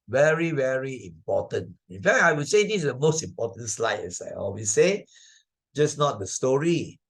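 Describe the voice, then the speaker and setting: A man's voice: mid-range voice, very animated, normal speed. An older man with a Malaysian accent teaches a moral lesson conversationally, repeating key points with emphasis and checking in with frequent tag questions. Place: online video presentation.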